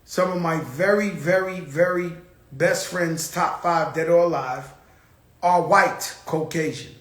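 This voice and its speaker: A man's voice: on the high side, slow, fairly bright tone, roomy sound. A man hosting his own show, talking in a blunt, profane, rambling casual monologue. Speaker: show host.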